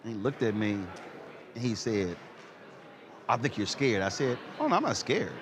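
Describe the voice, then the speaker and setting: A man's voice: fairly low pitch, big pitch swings, normal speed, a crowd in the background. A man in his fifties hosting a conversational interview, speaking emphatically and repeating words as he builds a point. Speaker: talk show host. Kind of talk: conversational interview with personal anecdotes.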